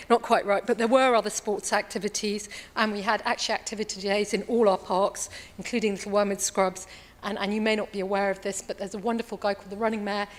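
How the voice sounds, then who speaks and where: Hesitant woman councillor in a council chamber. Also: mid-range voice. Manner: earnest, hesitant, many restarts. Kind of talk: answering a question at a council meeting. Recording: long hall reverb.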